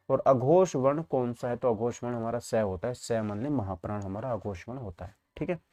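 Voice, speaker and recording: A man's voice: mid-range voice, mellow, no echo. A man teaching an exam lesson, posing questions and answering them himself, often checking that students follow.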